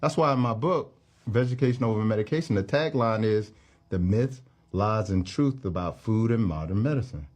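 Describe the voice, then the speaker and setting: A man's voice: fairly low pitch, normal speed, a little mellow. A man speaking as a health author in an interview, explaining and checking that the listener follows. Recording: muffled clip played back.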